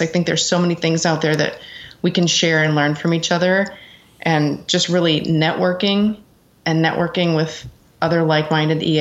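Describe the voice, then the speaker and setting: A middle-aged American woman giving earnest, reflective answers as an interview guest in a conversational way. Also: low voice. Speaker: interview guest, executive assistant. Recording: dull, lo-fi sound.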